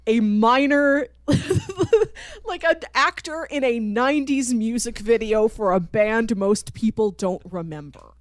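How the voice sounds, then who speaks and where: A woman co-hosting a podcast in casual chat, telling stories in an animated way and acting out quoted dialogue. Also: a little high, slow, no echo, clean studio sound. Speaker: podcast co-host. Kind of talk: casual chat about a TV cartoon.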